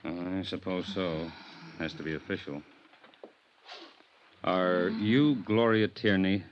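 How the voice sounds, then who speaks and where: A gruff, laconic police sergeant in a 1950s radio drama. His voice is deep and weary, with plain, deliberate delivery. The vintage recording sounds muffled. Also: a little hiss or hum. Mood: official, reluctant. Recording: dull old radio recording.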